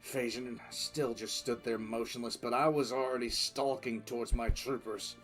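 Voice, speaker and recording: Guy doing grim commissar narrating a war story, mid pitch, deliberate and dramatic, music behind. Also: little pitch movement, fairly bright tone, natural voice. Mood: grim, scornful. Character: stern male military commissar bitterly recounting a war. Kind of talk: dramatic first-person audio drama narration.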